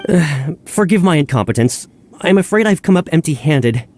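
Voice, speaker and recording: A man's voice: fairly high pitch, lively intonation, moderate pace, darkish tone, slight background noise, close to an everyday voice. A man voicing an anxious, deferential subordinate agent in a video game, with an apologetic, earnest manner. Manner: apologetic, emphatic, flustered. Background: background music.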